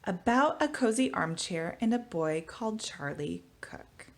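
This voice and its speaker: A woman teacher giving a storybook read-aloud for children, with expressive delivery. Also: fairly low pitch, unhurried. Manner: expressive, animated reading. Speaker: elementary school teacher.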